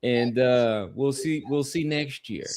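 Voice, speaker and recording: A man's voice: mid-range voice, takes it slowly, darkish tone, smooth. A man co-hosting a podcast in a casual, conversational sports discussion. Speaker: podcast co-host.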